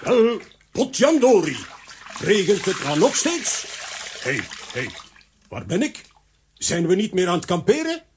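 An actor playing an excitable, muddle-headed, blustering man who exclaims and stammers in a children's radio play. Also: high-pitched, measured pace, long pauses, a little hiss or hum, exaggerated voice. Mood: dazed, muddled.

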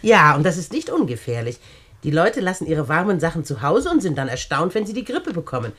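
Bossy older aunt, lowish voice, very lively intonation, fussy, condescending, radio drama. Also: female voice, brisk, exaggerated voice. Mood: patronising. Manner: bossy, fussing, sweetly patronising. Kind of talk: German radio play acting.

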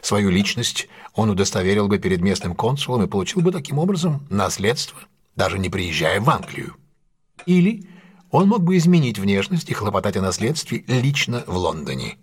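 An actor playing a shrewd detective in an audio drama, laying out a case in a measured, articulate way with deliberate pauses. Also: male voice, fairly low pitch, sing-song, husky. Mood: thoughtful, deliberate. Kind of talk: audio drama acting, expository monologue.

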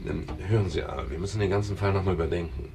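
A middle-aged private eye in a 1970s radio crime play. His voice sits very low with a gravelly creak, and he delivers dialogue and narration alike with dry, weary irony. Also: fast, noisy background. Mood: narrating, dry. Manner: dry, musing, wry. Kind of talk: 1970s German radio crime drama acting and first-person narration.

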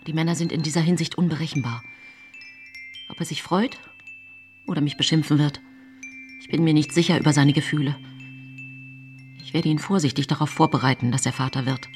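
An actress playing a brooding, calculating woman in her thirties, narrating a radio drama as an intimate, deliberate, theatrical inner monologue. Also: sits low, fairly even intonation, a little mellow, soft background music, lightly acted. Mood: anxious, watchful. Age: 30s.

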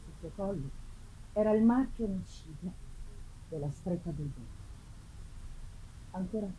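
A woman giving an intense, halting dramatic reading of a gothic novel, repeating words for effect and swinging between hushed and loud delivery. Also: lowish voice, animated, low-quality recording. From Italy. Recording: live festival recording with faint noise. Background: live musical accompaniment.

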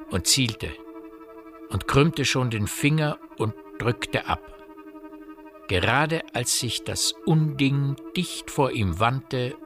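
A man reading literary scripted narration as the narrator of a radio drama. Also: fairly low pitch. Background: music.

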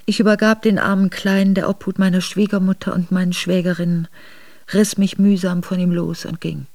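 An actress playing a grieving Russian princess who narrates her memoirs in a radio drama, measured and solemn. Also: on the low side, little pitch movement, darkish tone, no echo, lightly acted. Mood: sorrowful, restrained.

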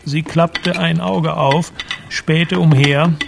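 A man giving measured, precise literary narration for a radio drama, with a creaky voice. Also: a little high, fairly even intonation, background noise.

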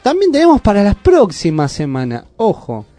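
High-voiced Argentine radio host. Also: male voice, wildly swooping pitch, warm tone, faint music behind. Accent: Argentine Spanish. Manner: chatty, with frequent "eh" pauses. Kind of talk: casual community radio chat. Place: radio studio.

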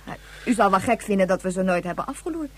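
A woman's voice: mid-range voice, animated, fast, slight background noise, lightly acted. An actress playing a blunt but helpful settler woman in a radio drama, with a folksy, scolding but obliging manner. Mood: confiding, explaining.

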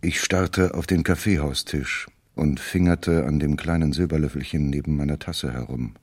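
An actor playing a curious, teasing companion of a smuggler in a radio drama, in dialogue and narration. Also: male voice, extremely low pitch, moderate pace, a little mellow, husky.

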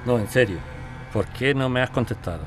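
A man's voice: mid-range voice, fairly quick, close to an everyday voice. A man with a Spanish accent acting a flirtatious, insistent residents' association president in an audio drama, casual and teasing. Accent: Spain. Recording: clear ambient noise behind voice.

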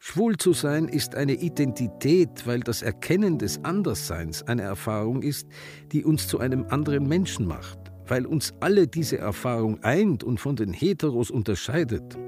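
An actor in a radio drama playing an earnest activist giving an emphatic, declamatory speech. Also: male voice, mid-range voice, sing-song, normal speed, darkish tone, natural voice. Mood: earnest, resolute. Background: music.

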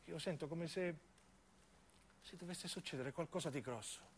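A man playing a grave, troubled male activist, speaking in a brooding, measured way in a radio play. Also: on the high side, a little hiss or hum, natural voice. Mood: grave, foreboding.

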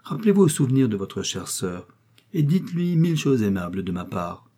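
A man's voice: mid-range voice, sing-song, fairly quick, dark tone, husky. A man voicing a peevish, haughty young heiress who speaks in a curt, dismissive way.

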